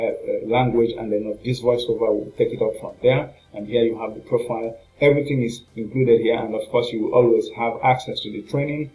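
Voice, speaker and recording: A man giving an informal, halting and repetitive screen walkthrough as a product reviewer. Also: medium pitch, darkish tone, rough recording. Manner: halting, repetitive, rambling narration with filler phrases.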